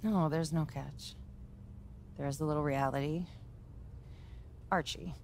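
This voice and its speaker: A low-voiced woman as an ambitious sports agency executive in a game drama. She is composed and businesslike, measuring her words. Faint noise sits behind her. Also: fairly even intonation, a little slow, vocal fry, a little mellow. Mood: diplomatic, firm. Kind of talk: naturalistic game cutscene dialogue.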